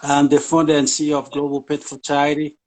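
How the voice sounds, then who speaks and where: A man talks as an interview guest. His voice sits a little high for a man. He pauses often, filling gaps with "uh" and restarting his sentences. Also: completely flat delivery, darkish tone. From Haiti. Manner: hesitant, many fillers and restarts. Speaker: guest, charity founder. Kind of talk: casual interview talk.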